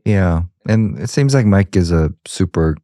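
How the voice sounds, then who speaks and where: A man co-hosting a podcast, chatting casually and asking curious questions with relaxed slang. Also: low-pitched, measured pace, dry recording, studio quality. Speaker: podcast co-host. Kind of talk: casual interview chat.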